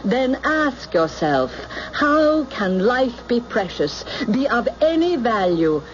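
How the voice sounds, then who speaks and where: A stern reprogrammer in a radio play, commanding and controlled. She speaks slowly in a fairly high, very expressive voice. The recording is an old, slightly muffled broadcast. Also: slight background noise. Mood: insistent, measured. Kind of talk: radio drama acting.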